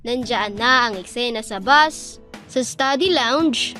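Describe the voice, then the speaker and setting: A woman acting sentimental young student narrator, higher voice. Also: slow pace, pushed and bright, faint music behind. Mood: wistful. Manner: sentimental, earnest, poetic storytelling. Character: young female student confessing her tender love story. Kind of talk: scripted radio drama letter narration.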